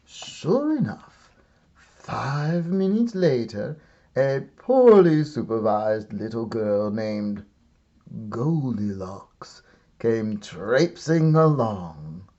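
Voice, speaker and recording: A man reading a children's story aloud as a teacher would, with theatrical comic timing and wry pauses. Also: medium pitch, very animated, warm tone, highs cut off. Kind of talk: expressive storybook read-aloud.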